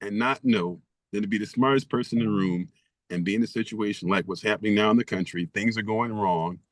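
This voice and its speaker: A man's voice: on the low side, brisk, no room sound, low-quality recording. A man guest author in an interview, speaking emphatically and stacking rhetorical questions. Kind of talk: interview conversation.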